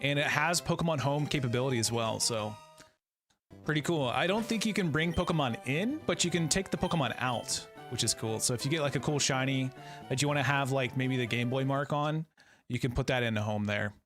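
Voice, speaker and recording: A man's voice: mid-range voice, brisk, fairly bright tone, soft background music. A man in his thirties playing a game on a live stream, chatting in a laid-back, friendly way and greeting viewers. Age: 30s. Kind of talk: casual live-stream chat while playing.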